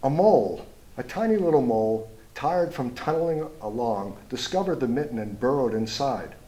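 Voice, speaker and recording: A man reads a children's picture book aloud in an expressive storytime style, pausing to point things out and ask young listeners questions. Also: mid-range voice, rough recording. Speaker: storybook reader, school superintendent.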